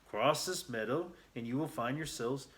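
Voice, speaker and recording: A man's voice: mid-range voice, moderate pace, a bit bright, slight background noise, pitched above the performer's own voice, natural voice. A man voicing a grim old gold miner in a storybook, with an ominous, commanding, emphatic delivery. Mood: grave, urgent. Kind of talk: storybook character voice.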